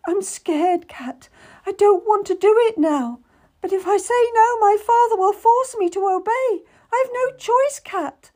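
A woman's voice: very high voice, expressive, unhurried, a little mellow, voice pushed up. Woman voicing a terrified, tearful young noblewoman. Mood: frightened. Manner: trembling, pleading, near tears. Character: frightened naive young noblewoman bride.

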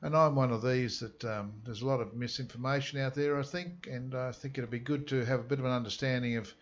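A man's voice: medium pitch, fairly even intonation, fairly quick. Man hosting a radio interview, friendly and hesitant, dull lo-fi sound. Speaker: radio host. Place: radio studio.

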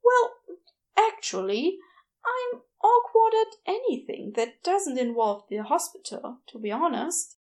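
Audiobook role: gentle, awkward gentleman played by a woman, high-pitched, breathy, prim, lilting and self-deprecating. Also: very animated, slow pace, exaggerated voice. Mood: flustered, rambling. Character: flustered, gentle, apologetic man, awkward doctor. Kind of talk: audiobook character dialogue.

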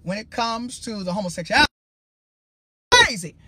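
A man with a high-pitched voice hosting his own livestream as a preacher, talking to viewers in an emphatic, rambling way. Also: animated, moderate pace, heavy background noise. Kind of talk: casual livestream talk.

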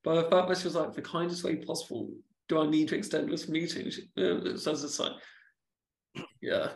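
Gravelly man who stammers, halting. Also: on the high side, echoey room. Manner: halting, repeats words, speaks of own stammer. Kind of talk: casual podcast chat.

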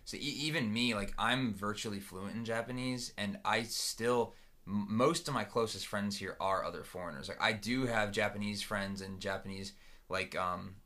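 A young man co-hosts a podcast in a relaxed, chatty way. His voice is slightly low but bright, and he leans on "like" as he talks. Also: moderate pace. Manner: relaxed, filler-heavy "like". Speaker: podcast co-host. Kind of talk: casual conversational interview.

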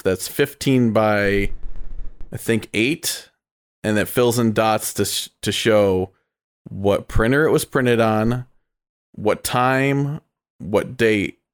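Man, lowish voice, easygoing, relaxed chat. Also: takes it slowly, smooth. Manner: easygoing, brings up news items. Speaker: podcast co-host. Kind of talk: casual chat.

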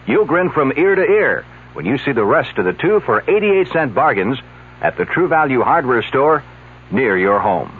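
1970s commercial spokesman: man, mid pitch, lively melodic read, narrow vintage recording. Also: normal speed. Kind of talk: scripted 1970s radio hardware commercial. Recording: narrow-band old radio, faint hum.

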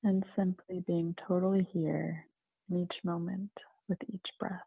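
A woman leading a guided meditation in a calm, soothing manner, with gentle pauses between phrases. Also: fairly low pitch, vocal fry, husky, no room sound. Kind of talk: slow guided meditation narration. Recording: narrow phone-like, muffled sound.

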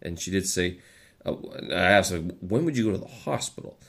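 Low-voiced congested man. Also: lively intonation, normal speed, fairly bright tone, breathy edge. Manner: chatty, hesitant, with many fillers and restarts. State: stuffy nose from a head cold. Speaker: vlogger. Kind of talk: casual rambling monologue. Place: living in China.

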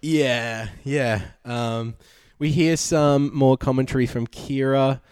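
Aussie guy chatting at home, laid-back and deadpan, dry mic. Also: mid-range voice, slow, smooth, dry recording. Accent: Australian. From Australia. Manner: laid-back, sarcastic, many ums. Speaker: podcast co-host. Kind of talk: casual recap chat.

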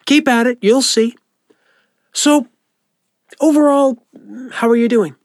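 A man playing a friendly, informal doctor who gently encourages and reassures a patient in an audio drama. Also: very high voice, takes it slowly, dry recording, clean studio sound. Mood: friendly, upbeat. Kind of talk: naturalistic audio drama acting.

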